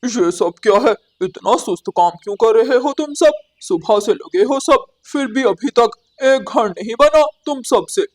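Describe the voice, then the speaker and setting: A woman's voice: on the high side, expressive, a little slow, hardly any pauses. A woman voicing a sly, lazy male crow in a cartoon, with a smug, scornful manner. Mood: scornful, demanding. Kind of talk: cartoon character acting.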